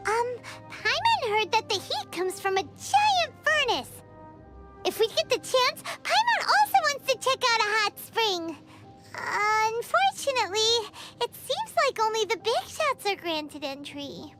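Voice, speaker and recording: Squeaky, excitable little fairy girl. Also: a little slow, heavily acted. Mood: indignant, eager. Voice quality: squeaky, childlike. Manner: refers to self in third person, exclamatory. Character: tiny excitable girlish fairy companion, pouty and nosy. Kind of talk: animated game voice acting. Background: background music.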